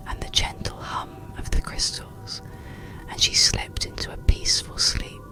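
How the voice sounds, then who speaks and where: Hushed, whispering ASMR bedtime storyteller. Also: low-quality recording. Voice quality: breathy, unvoiced whisper. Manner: hushed, soothing, unhurried phrasing. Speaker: audiobook narrator.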